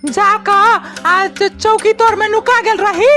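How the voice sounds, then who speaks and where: Super squeaky bratty village kid, maithili accent, gossipy cartoon troublemaker, music behind. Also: fairly even intonation, slow. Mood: mocking, boastful. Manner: insistent, gossipy, taunting. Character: brash, pushy young village boy, cartoon troublemaker. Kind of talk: comic cartoon dialogue.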